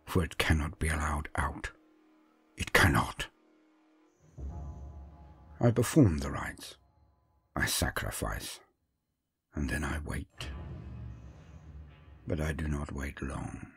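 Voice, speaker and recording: Man as a low, brooding village sorcerer. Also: soft background music, exaggerated voice. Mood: brooding resolve. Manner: hushed, deliberate, brooding. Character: brooding, defiant village sorcerer, once an apprentice. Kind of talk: dark fantasy first-person storytelling.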